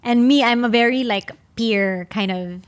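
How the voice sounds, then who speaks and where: A woman's voice: a little high, normal speed, clear, resonant tone. Friendly Filipino woman, chatty and tentative. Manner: friendly, tentative, uses "like" a lot. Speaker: podcast co-host. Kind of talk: casual chat mixing English and Tagalog.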